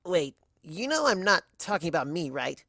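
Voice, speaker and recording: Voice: mid-range voice, sing-song, normal speed, sharp tone, dry recording, studio quality, voice pushed up, exaggerated voice. A narrator as a blunt young pegasus mare. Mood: casual, puzzled. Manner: blunt, casual. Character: casual, easily distracted young female pegasus pony.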